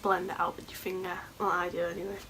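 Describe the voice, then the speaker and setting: A woman with a sore throat and a hoarse voice, chatting casually and a bit scatterbrained through a makeup tutorial. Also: on the low side, level tone, fast, bright, forceful tone, slight background noise. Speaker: beauty vlogger.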